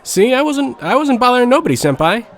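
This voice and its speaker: Man playing a cocky teenage delinquent, very animated. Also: extremely high pitch, fast talker, a faint crowd, full character voice. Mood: nervous, defensive. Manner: slangy, wheedling. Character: cocky pushy teenage boy delinquent with missing teeth. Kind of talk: audio book character acting.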